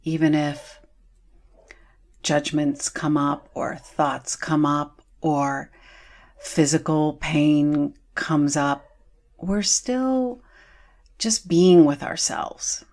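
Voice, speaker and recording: A woman with a low voice guides a meditation. She speaks extremely slowly and soothingly. Long pauses separate her short phrases. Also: a little mellow.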